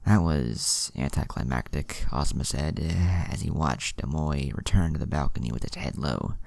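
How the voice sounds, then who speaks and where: A man's voice: extremely low pitch, moderate pace, creaky, a little mellow. A man narrating an anime fan story, reading out both the action and the dialogue.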